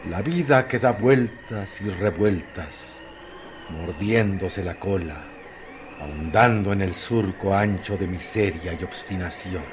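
A man narrating a literary radio drama in a deliberate, emphatic, dramatized way. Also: low-pitched, noisy background.

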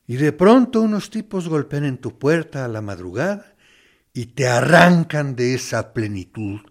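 A menacing army captain in a radio drama. He speaks slowly, swinging widely in pitch as he feigns friendliness and talks down to his prisoner. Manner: condescending, mock-friendly, deliberate with pointed pauses. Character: menacing male military captain interrogating a prisoner, falsely sympathetic. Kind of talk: dramatic radio play monologue.

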